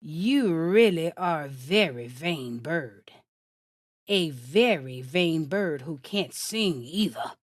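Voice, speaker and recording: A woman voicing a sly, smooth-talking male fox who flatters to get his way, in a storybook reading. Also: deep voice, slow, no room sound, studio quality, pitched below the performer's own voice, exaggerated voice. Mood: mocking. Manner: sweet-talking, coaxing.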